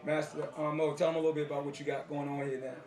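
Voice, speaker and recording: A man's voice: a little high, flat delivery, very fast, crowd noise. An American man doing a casual street-style interview, talking in an informal, slangy way. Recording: roomy, noisy on-location sound.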